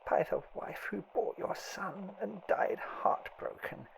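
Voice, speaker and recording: A man voicing an ancient, relentless old nurse woman in an audiobook horror tale, with a thin, breathy voice and solemn, insistent delivery. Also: high voice, slow, a little hiss or hum, voice pushed up, heavily acted, rough recording. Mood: solemn, imploring.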